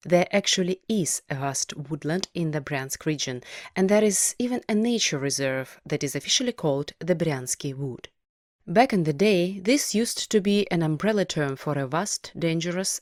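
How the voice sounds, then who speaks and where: A woman hosting a podcast, reading a scripted explanation in a measured way. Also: fairly low pitch, no room sound, studio quality. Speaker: podcast host.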